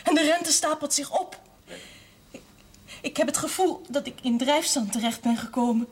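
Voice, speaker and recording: A woman's voice: high-pitched, normal speed, natural voice. An actress playing an earnest young former novice burdened with her family's business, in a television period drama. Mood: despairing.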